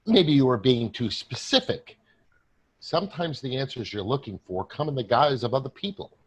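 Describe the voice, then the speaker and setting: Man acting a husky, sardonic dead friend. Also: mid-range voice, expressive, moderate pace. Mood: dry, needling. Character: dead male friend, sardonic, exasperated, pushy but caring. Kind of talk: staged play reading.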